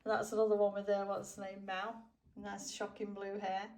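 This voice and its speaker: A woman's voice: mid-range voice, monotone, normal speed, a little mellow, recorded from a distance. Chatty British woman, off the mic. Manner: chatty, informal, teasing, addresses viewers directly. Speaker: co-host and camera operator of a restoration video. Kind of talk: casual chat to viewers.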